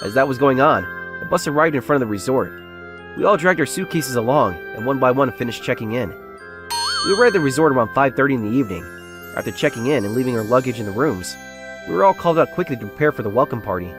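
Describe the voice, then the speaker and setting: Man acting earnest, self-doubting young salesman, animated delivery. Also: mid-range voice, quick pace, music in the background, lightly acted. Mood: confiding, wistful. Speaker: narrator and lead character of a romance comic dub. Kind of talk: first-person dramatised narration.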